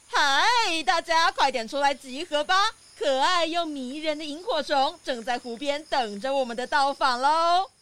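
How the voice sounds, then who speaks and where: A woman's voice: high voice, measured pace, hardly any pauses, a bit bright. A woman playing an earnest, enthusiastic chameleon tour guide in a children's audio drama. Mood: cheerful. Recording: music behind the voice.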